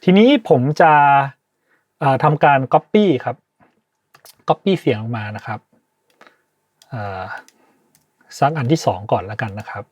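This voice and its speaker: A man hosting an informal software tutorial, speaking politely and hesitantly as he thinks aloud. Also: mid-range voice, sing-song, a little slow, pauses often, husky, no echo, clean studio sound. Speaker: tutorial host, sound designer.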